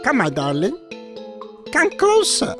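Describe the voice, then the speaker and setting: A woman voicing a sly big bad wolf that poses as a sweet grandmother, coaxing with drawn-out, feigned sweetness in a children's storybook. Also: sits high, huge pitch swings, over music, exaggerated voice.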